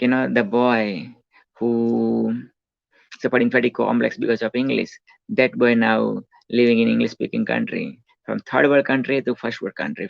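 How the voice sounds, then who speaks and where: A man's voice: medium pitch, creaky, dry recording. A man in his forties with a Gujarati accent, telling his life story in a rambling talk full of fillers and restarts. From India. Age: 40s. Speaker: self-help speaker. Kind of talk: rambling autobiographical monologue. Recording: dull lo-fi dry recording.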